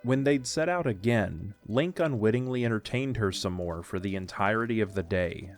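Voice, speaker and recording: A man narrates an audio story in a low voice. He reads scripted prose, with music playing faintly underneath. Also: moderate pace.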